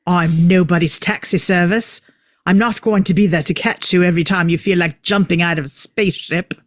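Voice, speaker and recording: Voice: medium pitch, steady tone, fairly quick, no room sound, studio quality, close to an everyday voice. An actor playing an exasperated, guarded male time traveller in a script table read, with a curt delivery. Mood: irritated.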